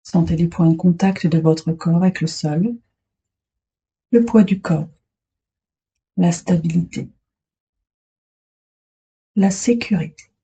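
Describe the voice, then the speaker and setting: A woman guiding a relaxation meditation as a yoga instructor, soothing and unhurried, speaking in short phrases with long pauses. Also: lowish voice, fairly even intonation, warm tone, slightly rough, lo-fi sound.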